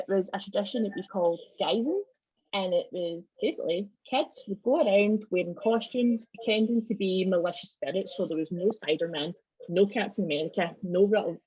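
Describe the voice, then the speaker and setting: Husky Scottish woman, low-pitched. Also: brisk, a little mellow, no room sound. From Scotland. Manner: wry, joking asides. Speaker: club member giving a presentation. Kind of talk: informal presentation of folk traditions and legends. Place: video call. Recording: slightly dull video-call audio.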